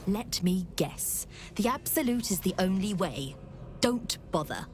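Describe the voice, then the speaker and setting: A woman's voice: medium pitch, lively intonation, a little slow, darkish tone, faint music behind, lightly acted. An actress playing a sarcastic, defiant woman in a video game, mocking and curt. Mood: scornful, defiant.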